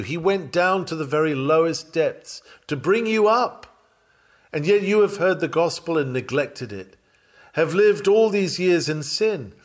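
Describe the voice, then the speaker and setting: A man reading an old-fashioned sermon dramatically, with solemn, fervent and measured oratory. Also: fairly high pitch. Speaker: sermon reader.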